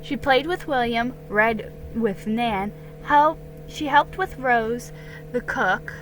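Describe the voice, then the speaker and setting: Slow, very bright woman reading a story. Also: on the high side, faint music behind, low-quality recording. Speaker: story reader. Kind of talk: dramatic narration of a children's storybook.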